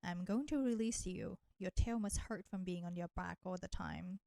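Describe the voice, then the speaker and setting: A woman's voice: fairly low pitch, creaky, warm tone, no echo. A woman voicing a flirty, nurturing vampire in an intimate roleplay audio drama, teasing and coaxing the listener. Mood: tender, coaxing. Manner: slow, teasing, coaxing, addressing listener with pet names. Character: flirty, teasing, nurturing female vampire, ancient enemy turned caretaker.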